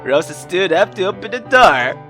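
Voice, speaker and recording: A woman's voice: on the low side, big pitch swings, normal speed, a bit bright, faint music behind. A woman reading a visual novel aloud as a game streamer, in a dramatic storytelling style.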